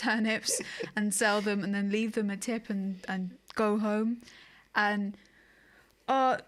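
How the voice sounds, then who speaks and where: A woman's voice: medium pitch, a bit bright. A woman co-hosting a podcast, chatting casually about video games in an informal, wry storytelling way. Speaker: podcast co-host.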